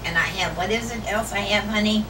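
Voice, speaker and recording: A woman's voice: mid-range voice, brisk. A woman in her fifties, plainly describing her daily situation in an interview. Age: 50s. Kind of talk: casual testimony. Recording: noisy, echoey, distant from mic.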